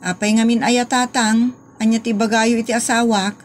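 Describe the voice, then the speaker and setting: A woman playing a young wife in a comedy drama, talking quickly and accusingly. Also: a little high, level tone, slight background noise, lightly acted. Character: young wife, daughter-in-law. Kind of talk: Ilocano comedy drama acting.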